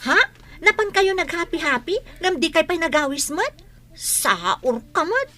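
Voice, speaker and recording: Lady doing a pushy teen student in an audio drama, really high bright voice, always wheedling. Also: big pitch swings, a little slow, heavily acted. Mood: alarmed. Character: pushy, unprepared teenage student wanting to cheat. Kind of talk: amateur audio drama acting.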